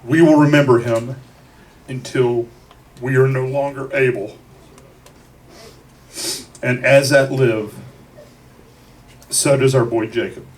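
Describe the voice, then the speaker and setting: A man giving a eulogy at a memorial gathering in a deliberate, declarative way, with dramatic pauses between phrases. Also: medium pitch, fairly even intonation. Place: bar venue, captured from the audience. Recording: distant mic in a noisy bar.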